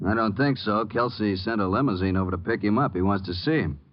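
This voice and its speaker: An actor playing a plain-spoken, slightly uneasy police desk sergeant in a radio drama, talking informally and matter-of-factly. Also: male voice, on the low side, quick pace, natural voice. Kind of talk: 1950s radio drama acting. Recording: muffled old radio recording.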